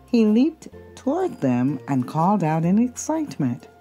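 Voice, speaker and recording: Low-pitched, expressive woman reading a storybook. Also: soft background music. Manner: expressive, drawn-out key words. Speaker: children's picture book reader. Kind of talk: storybook read-aloud.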